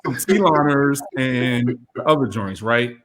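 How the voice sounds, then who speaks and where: A man in his thirties co-hosting a casual panel chat, animated and laughing, his voice jumping up when he jokes. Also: slow pace, a crowd in the background. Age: 30s. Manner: animated, laughing, jumps high in pitch when joking. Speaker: co-host.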